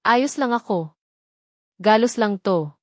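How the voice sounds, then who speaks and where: Audio romance: woman reader as rough, mocking thirties farmhand, stubborn and curt, dry recording. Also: fairly high pitch, animated, takes it slowly, no echo. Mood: scornful, stubborn. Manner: curt, scornful. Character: gruff, stubborn, mocking injured farmer man in his thirties.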